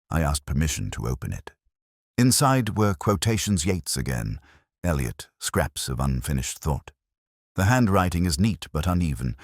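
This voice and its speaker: A world-weary ageing inspector, played by a man, recounts his case in an extremely low voice. His delivery is literary and melancholy, with expressive rises and falls. The sound is dry and close, in the style of a detective audio drama. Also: dry recording, exaggerated voice. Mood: brooding. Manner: literary, measured, brooding. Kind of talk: scripted first-person detective audio drama narration.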